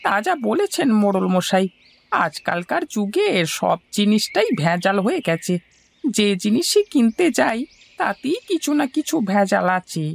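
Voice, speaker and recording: A woman's voice: medium pitch, very animated, moderate pace, creaky. A woman voicing a deferential, eager male village sidekick in a cartoon. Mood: agreeable. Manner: obsequious, eager. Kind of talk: scripted cartoon dialogue.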